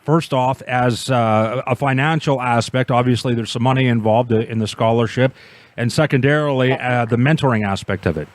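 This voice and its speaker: A man of middling pitch hosts the program and leads the interview. He asks in a measured, politely probing way. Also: no echo. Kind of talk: interview hosting.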